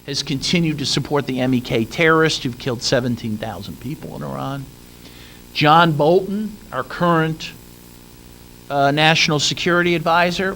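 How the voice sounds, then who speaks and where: A man's voice: mid-range voice, gravelly, fairly bright tone, slight background noise, poor audio. Earnest man giving a conference talk. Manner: earnest, with frequent fillers and restarts. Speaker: panel speaker. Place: conference room.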